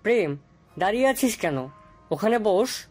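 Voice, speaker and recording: A man's voice: sits high, animated, moderate pace, darkish tone, faint music behind. Tender, grieving middle-aged father played by a man. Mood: welcoming. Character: tender, grieving widowed father of a grown daughter. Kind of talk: audio story drama acting.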